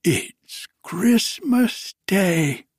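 A man voicing an elderly, formerly miserly man who is now giddy with delight, exclaiming in a high, breathless voice. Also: animated, drawn-out words, husky, dry recording, studio quality, heavily acted. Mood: overjoyed.

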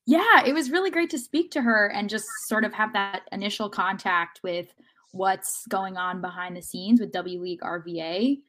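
A woman's voice: medium pitch, moderate pace, a bit bright. A woman co-hosting a sports podcast, talking casually in an articulate, explanatory way. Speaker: podcast co-host and interviewer.

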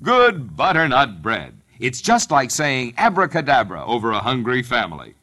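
A man reading an emphatic, polished sales pitch in an old-time radio announcer style. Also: wildly swooping pitch, normal speed, pushed and bright. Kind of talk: 1940s-50s scripted radio sales pitch.

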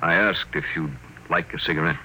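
A man acting a shrewd, cool, commanding boss in an old radio drama, brisk and probing. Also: low-pitched, steady tone, bright, forceful tone. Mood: smooth, probing. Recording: faintly noisy old radio broadcast.

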